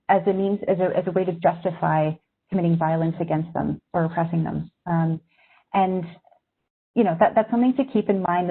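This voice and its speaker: A woman's voice: on the low side, husky. A woman who grew up in Syria, a magazine editor, giving a thoughtful, reflective webinar talk to journalism students over a video call. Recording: tinny video-call audio.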